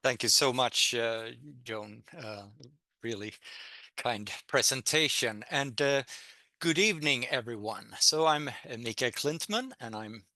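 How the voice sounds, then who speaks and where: A man's voice: mid-range voice, animated, a little slow, bright, forceful tone, dry recording. A middle-aged man with a Swedish accent giving an online public talk from home, hesitant, with many fillers and restarts. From Sweden. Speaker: guest lecturer. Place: home in a town, by video link. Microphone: computer mic on a video call.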